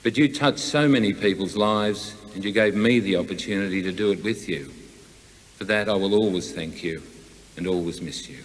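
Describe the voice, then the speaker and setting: A man's voice: low-pitched, moderate pace. An Australian man delivering a scripted eulogy for a friend at a memorial. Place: large hall, memorial gathering. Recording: echoey hall with long reverb.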